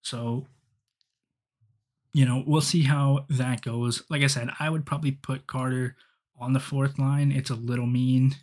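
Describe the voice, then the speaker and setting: A man's voice: mid-range voice, little pitch movement, unhurried, darkish tone. A young man giving rambling solo hockey commentary with many ums and restarts, recorded on a headset in a small dim room. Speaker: hockey fan podcaster. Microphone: headset, webcam framing.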